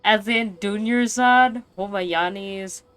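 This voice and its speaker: A woman doing casual, expressive, reactive commentary as she plays a video game. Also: mid-range voice, takes it slowly, crowd noise.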